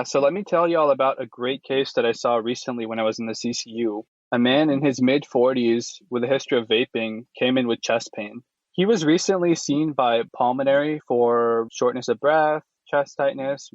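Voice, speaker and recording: A man in his thirties from Houston talks on a podcast as a cardiology fellow. He is upbeat and explains things clearly. His dry close audio sounds a little dull and muffled. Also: mid-range voice, moderate pace, dry recording. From Houston, Texas. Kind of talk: podcast conversation, presenting a case.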